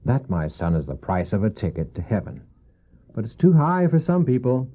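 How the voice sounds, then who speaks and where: An actor playing a gentle, weary widowed father in a radio drama, speaking tenderly and haltingly to his young son. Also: on the low side, big pitch swings. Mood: warm, explaining. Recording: dull old cassette audio.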